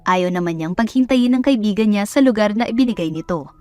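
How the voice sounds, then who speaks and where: A woman's voice: medium pitch, lively intonation, fast talker, warm tone, no echo. A woman storyteller reading scripted romance narration into a close microphone.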